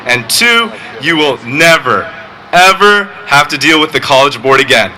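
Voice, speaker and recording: A teenage boy, valedictorian, giving a graduation speech with joking, deadpan asides. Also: fairly high pitch, moderate pace, low-quality recording. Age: teens. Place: outdoor graduation ceremony.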